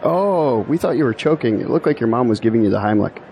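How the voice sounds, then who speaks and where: A man's voice: mid-range voice, lively intonation, quick pace, dark tone, natural voice, poor audio. An actor playing a self-deprecating young male graduate in an audio drama, with a dry delivery. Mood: joking. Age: young adult. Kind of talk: scripted audio drama dialogue. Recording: faint outdoor background noise.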